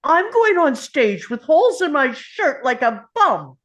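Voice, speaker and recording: A woman's voice: high-pitched, big pitch swings, measured pace, fairly bright tone, full character voice. A woman at a script table read playing an indignant, anxious husband and aspiring comedian, exasperated and incredulous. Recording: dull, lo-fi sound.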